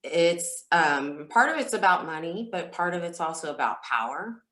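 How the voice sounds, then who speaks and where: A woman who is a singer telling stories as an interview guest, speaking casually and candidly in a halting, reflective way. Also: on the low side, lively intonation, bright, forceful tone. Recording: roomy, echoey sound.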